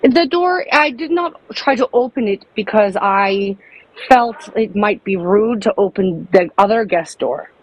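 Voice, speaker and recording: A woman making a prank phone call, speaking haltingly and apologizing often. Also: mid-range voice, lively intonation. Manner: halting, overly polite and apologetic. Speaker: prank caller.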